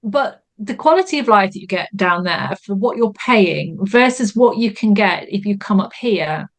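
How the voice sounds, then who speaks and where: A woman's voice: medium pitch, lively intonation, normal speed. Chatty woman, enthusiastic. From Yorkshire, England. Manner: chatty, enthusiastic, repeats phrases with many "you know" fillers. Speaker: English teacher, guest. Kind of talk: casual conversation.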